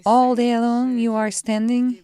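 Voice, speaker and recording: A woman speaking an interviewer's questions as a voice-over, in a brisk, questioning way. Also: on the high side, flat delivery, mellow, clean tone.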